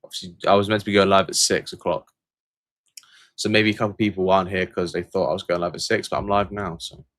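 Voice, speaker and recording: A man from England chats through a livestream Q&A in a deep, low voice, reading viewer comments aloud and answering in a loose, rambling way. He hesitates often, filling gaps with ums and restarting his sentences. The sound is dry and close, with no room echo. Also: level tone, quick pace, a bit bright. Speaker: channel host answering viewer questions.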